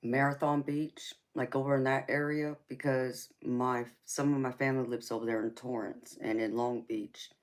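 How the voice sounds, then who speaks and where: A woman's voice: a bass voice, level tone, normal speed, hardly any pauses. A woman with a Southern accent hosting a live tarot stream, chatting casually with her viewers. She laughs often and calls people baby.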